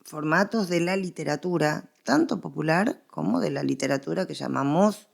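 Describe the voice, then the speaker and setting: A woman's voice: low voice, moderate pace, vocal fry. A woman with an Argentine accent explaining her subject in a conversational interview, stressing points with repetition and examples. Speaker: interviewed researcher of radio history.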